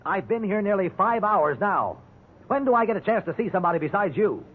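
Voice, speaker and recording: A man's voice: high voice, lively intonation, fairly quick, breathy edge. An actor playing a wry, defiant man held as a suspect in a 1950s radio drama. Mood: indignant. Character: indignant, wrongly accused male prisoner, also narrating. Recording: tinny, noisy 1950s radio recording.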